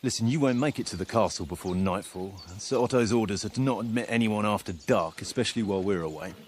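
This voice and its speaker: An actor playing a stern, wary guard captain in a game, speaking in a curt, formal and polite way. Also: male voice, lowish voice, fast, slight background noise, natural voice. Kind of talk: video game cutscene acting.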